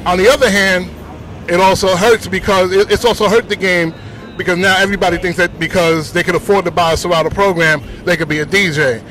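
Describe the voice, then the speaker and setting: A man with a Bronx New York accent, a DJ talking casually in slang on a city street, full of shout-outs and "you know what I'm saying". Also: high voice, steady tone, a little fast, some chatter behind, low-quality recording. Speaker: DJ interviewed on the street.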